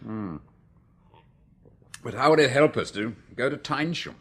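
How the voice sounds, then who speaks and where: An actor with an English accent playing a confident, genial stockbroker in a radio drama, assured and affable. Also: male voice, mid-range voice, expressive, unhurried. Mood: measured.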